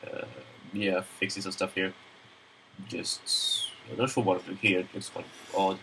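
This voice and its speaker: A man's voice: sits low, little pitch movement, measured pace, creaky, background noise. A young man with a Swedish accent, nasal from a cold, narrating a screen-recorded modeling tutorial in a rambling, chatty way with many fillers. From Sweden.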